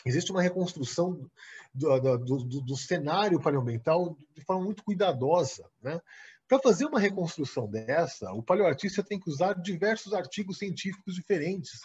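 Man, Brazilian accent, online lecturer, halting restarts, husky voice, dull call audio. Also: fairly high pitch, expressive, darkish tone. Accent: Brazilian Portuguese. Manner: halting, many repeated words and restarts; explains with frequent "né?" tags. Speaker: guest lecturer. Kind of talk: online lecture over slides. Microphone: video call.

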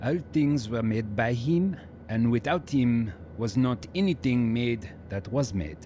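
An actor playing an earnest French husband, a musician and devout new believer, in a reverent radio drama style. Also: male voice, medium pitch, a little slow, dark tone, slight background noise, natural voice. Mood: reverent, searching.

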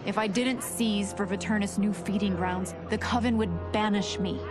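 Actress as a calculating vampire queen, medium pitch, game narration over heavy score. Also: steady tone, moderate pace, loud music behind the voice, natural voice. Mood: scheming. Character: scheming queen of a starving vampire race. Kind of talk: video game ending narration.

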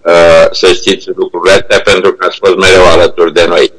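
An older man reminiscing informally over a video call, speaking haltingly as he searches for words. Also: low voice, flat delivery, fairly bright tone, poor audio. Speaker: internet company co-founder, remote guest speaker. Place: remote video call played to a meeting room. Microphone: video call shown on projection screen.

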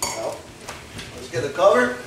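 A relaxed middle-aged man talks through a recipe at an easy, folksy pace and drops a few wry jokes. He is picked up by the camera from a distance in an echoey home kitchen. Pans and cutlery clatter loudly behind him. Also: fairly high pitch, measured pace, background noise. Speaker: home cooking show host. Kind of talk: casual cooking demonstration. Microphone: camera mic from a distance. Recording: echoey home video from far off.

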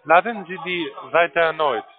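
A man with a slightly high voice guides a customer over the phone in terse, insistent instructions. The line is narrow and muffled, with some music in the recording. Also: unhurried. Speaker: phone agent guiding a customer.

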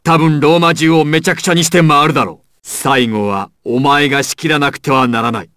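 A man's voice: a little high, fairly even intonation, fairly quick, bright, forceful tone, dry recording, studio quality. A man playing a proud, ruthless crime boss villain in an anime fighting game, with an imperious, menacing and theatrical delivery. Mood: grave, commanding.

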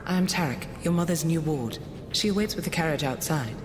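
A woman's voice: sits low, expressive, fairly quick, a little hiss or hum. A woman playing a well-spoken young plains warrior with formal, precise speech in a fantasy audio drama. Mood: polite, reserved.

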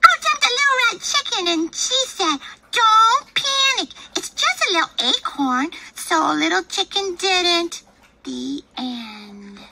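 A woman voicing an excitable, apologetic little girl chicken in a picture-book read-aloud, with a high childlike voice. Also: slow, vocal fry, slight background noise, full character voice. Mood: bursting in. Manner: high childlike voice, pleading and bursting in.

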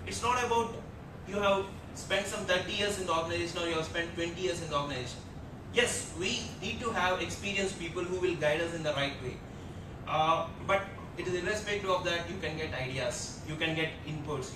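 A man with an Indian English accent speaking on an HR panel from a lectern, earnest and repetitive with many fillers. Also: fairly quick. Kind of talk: panel discussion talk. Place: large auditorium stage at a lectern. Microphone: lectern mic heard from a far camera. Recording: echoey hall from far off, rumble.

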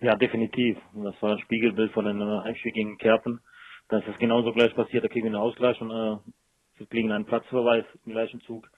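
A man's voice: lowish voice, little pitch movement, fast talker, dry recording. A man speaking as a football coach in a post-match interview over a telephone line. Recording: muffled, dry telephone line.

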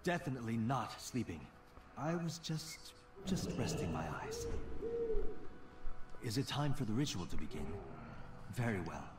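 An actor playing a drowsy, easily flustered elf druid in a video game, speaking haltingly. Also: male voice, medium pitch, big pitch swings. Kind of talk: video game character dialogue. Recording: very quiet, distant, echoey game audio.

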